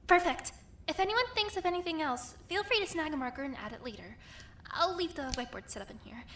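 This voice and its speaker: A woman's voice: high voice, lively intonation, fast talker, a bit bright. A woman voicing an upbeat young woman who leads a group meeting in a peppy, organized, slightly nervous way, in a fan audio drama.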